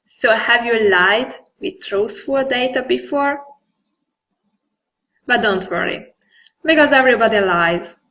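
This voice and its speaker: A woman's voice: a little high, unhurried, pauses often, a bit bright. Woman with a Hungarian accent presenting. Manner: earnest, occasional hesitations. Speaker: conference presenter. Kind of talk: presentation with audience Q&A.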